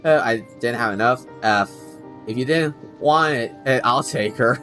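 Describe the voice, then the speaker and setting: A man chatting casually to viewers on a game livestream, rambling and halting as he doubles back on his sentences. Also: mid-range voice, pushed and bright. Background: game music.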